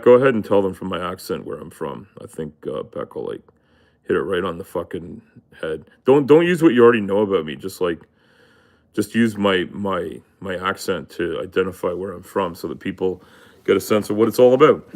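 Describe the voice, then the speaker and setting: A man's voice: sits low, expressive, moderate pace. A man hosting a live stream, ranting casually, rambling and swearing often. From Canada. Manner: rambling, profane, full of fillers. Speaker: livestream host. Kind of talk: casual livestream rant.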